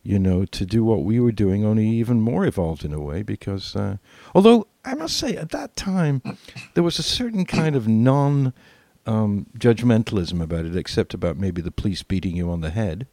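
An older man hosting a podcast, chatting reflectively in a halting, rambling way. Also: on the low side, lively intonation, dark tone, dry recording. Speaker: podcast host. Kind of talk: reflective casual chat.

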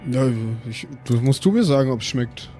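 A man's voice: mid-range voice, animated, normal speed, mellow. A man talking casually as a player in an improvised tabletop role-play game. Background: faint background music.

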